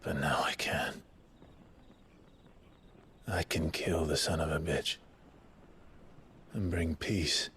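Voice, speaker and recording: An actor playing a grim young soldier bent on revenge, speaking in a low, brooding, intense way in a game cutscene. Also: male voice, a bit bright, a little hiss or hum, full character voice.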